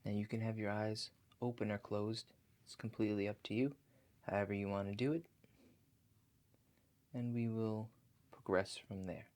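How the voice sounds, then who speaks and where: A man playing a calm, attentive relaxation agent in a soft-spoken ASMR roleplay, talking slowly and gently, with reassuring instructions. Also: fairly low pitch, lightly acted. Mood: calmly explaining. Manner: slow, gentle, reassuring, instructive, often checking in. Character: calm, attentive male house-call relaxation agent guiding breathing.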